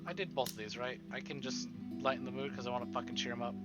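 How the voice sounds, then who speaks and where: A man giving casual, profane livestream commentary on a game, expressive and often talking to himself. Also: mid-range voice, fast talker, dull audio. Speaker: streamer playing a visual novel. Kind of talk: casual livestream commentary with dramatic read-aloud of game text. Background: game music.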